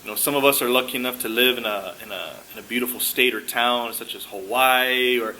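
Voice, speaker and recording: A man giving informal, explanatory advice as a career coach, halting at times and repeating words for emphasis. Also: medium pitch, bright, forceful tone, a little hiss or hum, low-quality recording.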